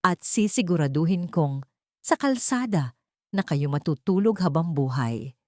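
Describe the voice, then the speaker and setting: A woman's voice: low-pitched, expressive, measured pace, warm tone, no echo, clean studio sound. A woman voicing a cold, stern rich father who speaks in menacing warnings in an audio story. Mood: threatening, low warning.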